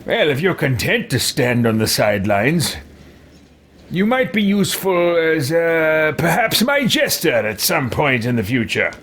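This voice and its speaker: A man puts on a haughty would-be dark emperor for game roleplay. He is pompous and disdainful, speaking slowly with big swings in pitch. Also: sing-song, full character voice.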